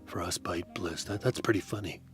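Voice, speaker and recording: A man with a very low, deep voice narrates a hardboiled detective tale. His delivery is dry and deadpan with a wry edge, and faint music plays underneath. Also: normal speed.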